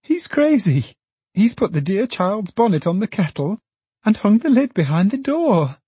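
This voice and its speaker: A man voicing a young woman, a tender, anxious daughter who speaks in a soft, coaxing way. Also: medium pitch, very animated, measured pace, husky, no echo, full character voice. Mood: alarmed.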